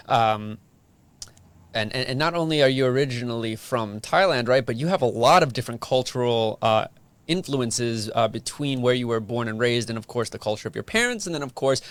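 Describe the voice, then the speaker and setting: A man's voice: mid-range voice, no room sound. A man hosting a relationship podcast, chatting casually and asking his guest questions. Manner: friendly, asks rapid-fire questions. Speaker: podcast host. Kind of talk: casual interview chat.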